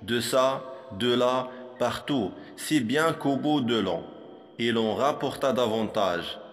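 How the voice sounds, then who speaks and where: A man reciting poetry for a primary school lesson in a measured, didactic manner, with a long hall-like reverb on his voice. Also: mid-range voice.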